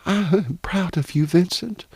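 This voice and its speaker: A man's voice: high-pitched, sing-song, normal speed, darkish tone, no room sound, voice pushed up. A man voicing a genteel, anxious and proud middle-aged mother in an audiobook novel.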